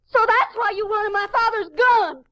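Very high-voiced defiant teenage girl. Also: moderate pace, pushed and bright, dull audio. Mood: defensive. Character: defiant, scheming, impatient small-town teenage girl. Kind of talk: 1950s western radio drama acting.

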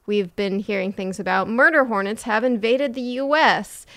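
A woman's voice: on the high side, normal speed, a bit bright. A woman host explaining a science topic in casual, playful, jokey podcast chat.

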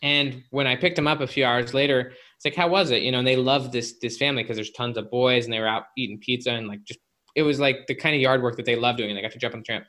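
Male interview guest, psychologist type. Bright, punchy tone, casual phrasing, peppered with "like" and "you know". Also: mid-range voice, steady tone, quick pace. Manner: informal, filler-heavy with frequent "like" and "you know". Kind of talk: conversational interview explanation with anecdotes.

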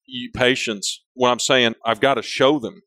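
A man's voice: medium pitch, steady tone, normal speed, pushed and bright, no room sound. A man with a big voice and big personality, talking candidly in a casual interview, rambling and often restarting his sentences. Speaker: company leader, interview guest.

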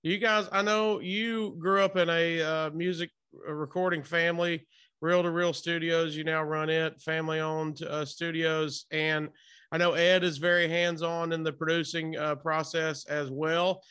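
A man's voice: on the high side, level tone, unhurried, sharp tone, clear, resonant tone. A man hosting a podcast interview in a casual, enthusiastic, informal way. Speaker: podcast and radio host interviewer. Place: hotel room, remote call.

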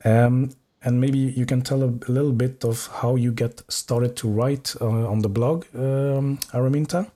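A man hosts a relaxed podcast interview, his voice soft and mellow in tone. He speaks in a halting way, with frequent filler sounds and restarted phrases. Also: mid-range voice. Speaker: podcast host. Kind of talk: casual interview hosting.

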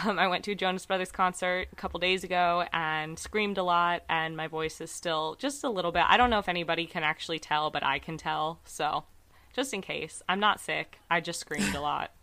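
A woman co-hosting a casual true crime podcast, her voice a little raspy, chatty and earnest with lots of fillers. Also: lowish voice, normal speed, bright, forceful tone. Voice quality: slightly raspy from screaming. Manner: chatty, many fillers, earnest about cases. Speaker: podcast co-host.

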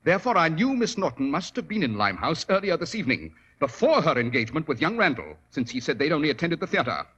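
An actor playing a shrewd, commanding detective in 1940s radio drama style, with precise, formal, theatrical delivery. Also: male voice, a little high, very animated, quick pace, few pauses, a bit bright, slightly rough, highs cut off, heavily acted. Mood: coolly accusing. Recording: vintage 1940s radio recording.

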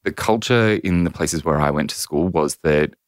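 An Australian man in his thirties, a podcast guest telling his story in a measured, candid way with frequent ums. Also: extremely low pitch, no room sound, studio quality. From New South Wales, Australia. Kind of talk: reflective personal storytelling.

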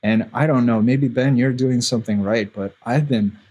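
Husky-voiced guy, russian's his first language, rambles easy on a podcast, lots of um and like. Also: mid-range voice, normal speed, darkish tone. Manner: rambling, self-deprecating, many fillers. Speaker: podcast guest, visual artist. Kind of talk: casual podcast chat.

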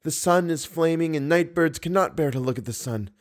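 A man reading an audiobook, voicing a frightened young woman opera singer in a raised, hushed, pleading voice. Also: a little high, animated, normal speed, voice pushed up, lightly acted. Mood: trembling. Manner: fearful, pleading, breathy hush.

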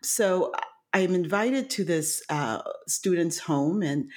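Woman, from Haiti, first languages Haitian Creole and French, low voice, reflective storyteller, many fillers. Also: expressive, slow. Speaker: guest. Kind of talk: storytelling interview.

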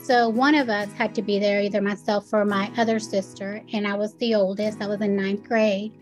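A woman's voice: medium pitch, flat delivery, normal speed, soft background music, low-quality recording. A middle-aged woman from Puerto Rico whose first language is Spanish, recounting her experience in an earnest, conversational way with occasional fillers. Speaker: foundation president and executive director. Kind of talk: interview, personal recollection.

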